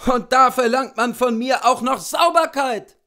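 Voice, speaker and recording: A scolding peasant cook played by a man from a storybook reading. She nags in a high, shrill voice, full of resentment, and complains without letup. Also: unhurried, bright, forceful tone, full character voice. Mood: scolding, indignant. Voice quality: shrill, nagging. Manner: chattering, complaining.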